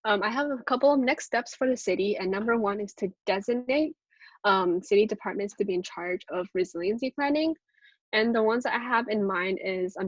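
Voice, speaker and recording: A woman's voice: medium pitch, no room sound. Young woman presenting, slightly dull call audio. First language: Cantonese at home, speaks several dialects. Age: young adult. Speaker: intern presenter. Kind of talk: presentation over video call. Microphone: video call.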